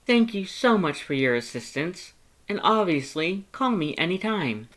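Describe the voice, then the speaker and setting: A performer voicing an excitable, earnest male skeleton with a warm heart and emphatic, theatrical delivery, in a fan fiction audiobook. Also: mid-range voice, measured pace, pitched above the performer's own voice. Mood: eager, friendly.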